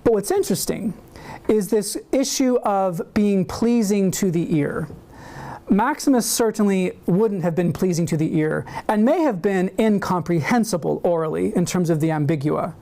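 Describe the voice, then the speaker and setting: A man giving a university lecture, reading a prepared paper in a measured, deliberate way with occasional fillers. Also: sits high, expressive, creaky, dark tone. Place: university seminar room.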